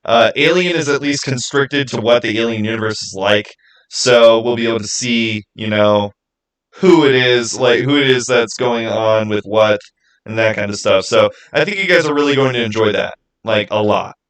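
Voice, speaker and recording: A man's voice: medium pitch, normal speed, fairly bright tone, low-quality recording. A man chatting informally as a livestream host, answering viewer questions and rambling as he thinks aloud. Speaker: livestream host and game master.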